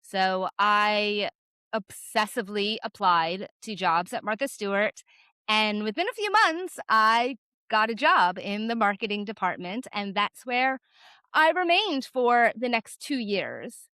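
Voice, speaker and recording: A woman in her forties with a naturally loud voice, frankly telling a personal story on her vlog with lots of casual fillers. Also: medium pitch, lively intonation, a little slow, clear, resonant tone, no room sound. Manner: chatty, frank, many "like" fillers. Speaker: vlogger.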